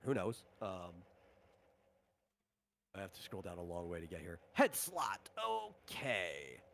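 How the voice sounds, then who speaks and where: A man's voice: low voice, very animated, slow pace, fairly bright tone, a little hiss or hum. A man acting as game master, talking through the rules and equipment of a tabletop game in an unscripted way.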